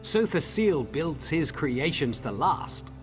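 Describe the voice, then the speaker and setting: A man playing an eager, scholarly researcher of clockwork machines in a video game, with an expressive, explanatory manner. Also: a little high, slow, music in the background. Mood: excited, instructive. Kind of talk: video game character dialogue.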